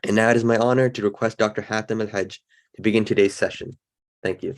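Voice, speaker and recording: A man with a low voice opens an online session over a video call. He introduces the guest formally. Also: steady tone, fast. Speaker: session host.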